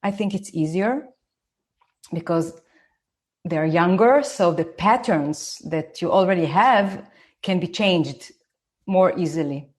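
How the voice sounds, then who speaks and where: A woman in her forties from Israel with an Israeli accent, speaking slowly and carefully as she gives a live online talk to parents. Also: fairly low pitch. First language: Hebrew. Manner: slow, deliberate, and careful for her listeners. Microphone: phone.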